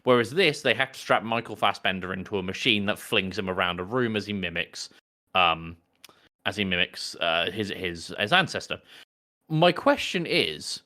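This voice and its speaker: A man co-hosting a casual film podcast, explaining his points in a wordy, deliberate way and often correcting himself. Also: low voice, animated, sharp tone. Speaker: podcast co-host. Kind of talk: casual film review chat.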